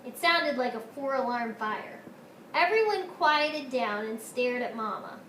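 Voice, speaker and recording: A woman's voice: fairly high pitch, lively intonation, unhurried, sharp tone. A woman reading a children's story aloud as narrator. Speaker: storybook reader. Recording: roomy echo with faint noise.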